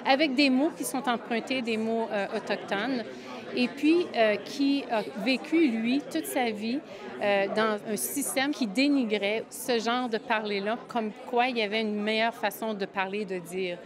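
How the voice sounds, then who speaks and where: Woman, slightly high voice, anecdote, word-searching, crowd chatter behind. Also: a little slow. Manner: searching for words, repetitive. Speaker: interviewee. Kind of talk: personal anecdote.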